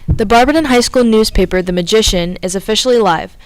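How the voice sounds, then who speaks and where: A woman's voice: medium pitch, brisk, smooth, low-quality recording. Young female school announcer. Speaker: student announcer.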